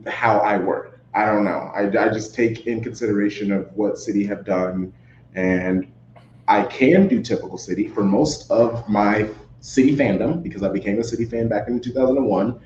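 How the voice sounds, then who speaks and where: A man's voice: on the low side, a little mellow. A man talking casually as a football fan guest on a sports podcast. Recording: roomy, dull sound with faint noise.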